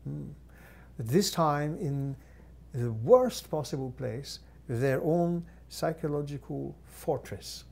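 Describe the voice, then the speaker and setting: Slow, formal male channeler. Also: a little high, lively intonation, vocal fry, darkish tone. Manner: measured, formal, with long pauses and hums. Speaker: channeler speaking as a guide entity. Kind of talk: slow deliberate channeled teaching.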